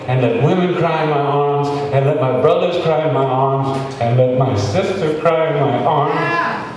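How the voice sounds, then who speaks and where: A man reading poetry aloud as a spoken-word performance, impassioned and emphatic, with incantatory repetition. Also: fairly high pitch. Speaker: poetry reader. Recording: very echoey reverberant hall, distant mic, noisy.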